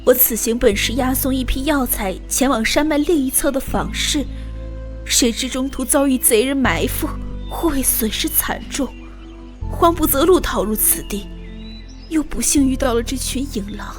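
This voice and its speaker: A woman playing a polite, humble young merchant's daughter with formal, old-fashioned manners in an animated fantasy drama. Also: high voice, moderate pace, soft background music, lightly acted. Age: young adult.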